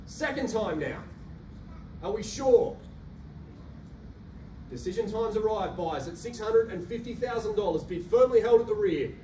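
A man's voice: sits high, animated, warm tone. Australian male auctioneer, rhythmic patter, roomy echo, far from the mic. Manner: rhythmic rapid-fire bid calling, cajoling buyers. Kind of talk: live auction calling. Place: carpeted function room indoors. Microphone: handheld mic, filmed by a far camera. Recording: roomy echo from a distant camera, faint hum.

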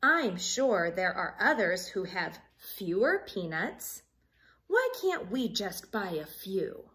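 A woman voicing a fussy, whiny young rabbit child from a storybook, with emphatic, drawn-out complaining. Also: mid-range voice, sing-song, pushed and bright, pitched below the performer's own voice, heavily acted.

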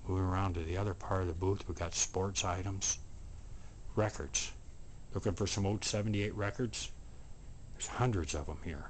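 A man with a deep voice gives a promotional tour of a shop, speaking from behind a handheld camera. He names items one after another in short, unhurried phrases and often repeats himself. Light room noise sits behind his voice. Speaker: antique mall booth presenter. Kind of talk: promotional walkthrough narration. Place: indoor antique mall showroom. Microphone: handheld camera, operator speaking from behind it. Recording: steady background room noise.

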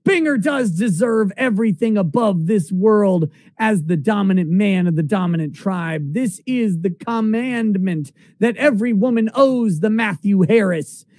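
A man's voice: high-pitched, animated, unhurried, few pauses, a little mellow, no room sound. A middle-aged man reading a text aloud in a declamatory, emphatic, dramatic way.

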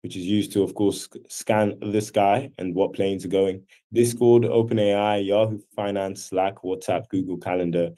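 A man's voice: on the low side, steady tone, moderate pace, few pauses, mellow. A man hosting an online class over a video call, explaining things casually and often checking in with "right?". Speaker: host teaching an online class. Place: video call with screen sharing.

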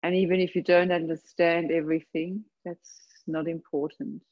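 A woman leading a guided meditation, speaking gently and unhurriedly in short phrases with long pauses between them. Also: on the low side, level tone, muffled recording. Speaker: yoga nidra meditation guide. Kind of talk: guided meditation, slow soothing instruction.

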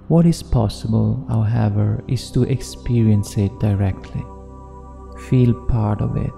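A man narrating a guided meditation in a soothing, measured manner with deliberate pauses. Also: fairly low pitch, creaky, warm tone. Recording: long reverb, New-age music behind. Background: New-age music.

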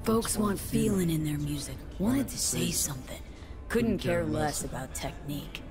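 Low-voiced, blunt woman street musician. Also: expressive, normal speed, music in the background. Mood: frank, reassuring. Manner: terse, matter-of-fact, dropped words. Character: blunt, worldly street musician mentoring a boy. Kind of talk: naturalistic video game acting.

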